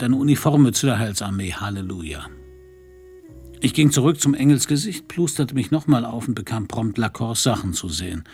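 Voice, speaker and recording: An actor playing a brooding, hard-drinking detective with a gravelly voice and a dry, sardonic manner in a noir radio drama. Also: male voice, medium pitch, darkish tone, close to an everyday voice.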